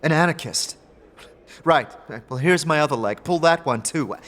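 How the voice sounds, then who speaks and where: A man's voice: a little high, lively intonation, normal speed, fairly bright tone. A man voicing an aloof, secretive female magical engineer, dry and dismissive, in a fantasy audio drama. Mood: scornful. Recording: long reverb, music behind.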